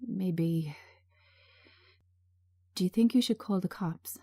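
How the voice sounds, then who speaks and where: A woman voicing a calm, supportive male friend in a gentle, measured manner. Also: fairly low pitch. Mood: concerned.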